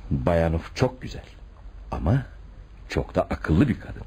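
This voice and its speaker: A man's voice: low voice, very animated, measured pace, dark tone, slightly rough, full character voice. An actor playing a suspicious, stubborn police detective in a radio play, blunt and insistent with his superior. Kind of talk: radio theatre acting. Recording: faintly noisy radio drama recording.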